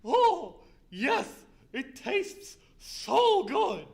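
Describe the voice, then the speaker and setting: A man voicing an excitable, gushing female horse in a squeaky falsetto for a children's radio drama. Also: drawn-out words, creaky, heavily acted. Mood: gushing delight.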